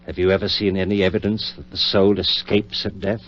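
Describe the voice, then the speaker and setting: A man performs an obsessed surgeon in a 1940s radio horror play. His voice is very low, intense and theatrical, snapping out commanding orders with total confidence. The old recording is muffled and noisy. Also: little pitch movement, a little fast, natural voice. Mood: arguing, self-assured. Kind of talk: 1940s radio drama acting.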